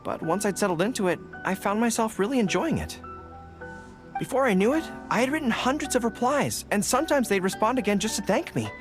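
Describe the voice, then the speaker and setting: Young army general for a game, earnest n a bit shy, really expressive, music behind. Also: male voice, high-pitched, brisk. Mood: earnest, pleased. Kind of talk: video game voice acting.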